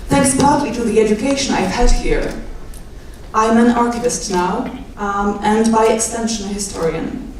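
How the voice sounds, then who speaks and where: A young woman with a Polish accent giving a measured rally speech with wry, self-deprecating asides. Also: medium pitch, a little mellow, distant mic, a little hiss or hum. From Poland. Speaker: guest speaker, doctoral student. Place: large indoor hall at a rally. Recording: echoey hall, mic from afar.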